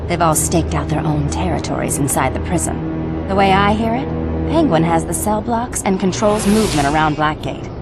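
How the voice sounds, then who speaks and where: Woman acting a sly, flirty thief over loud music. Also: on the low side, animated, moderate pace, close to an everyday voice. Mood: matter-of-fact, confiding. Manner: teasing, coy, persuasive. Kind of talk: video game cutscene acting. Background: loud game music.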